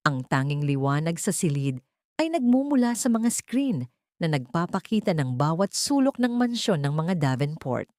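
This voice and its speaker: A woman's voice: low voice, big pitch swings, normal speed, darkish tone, dry recording, clean studio sound. A woman narrating a scripted audio story in a dramatic style.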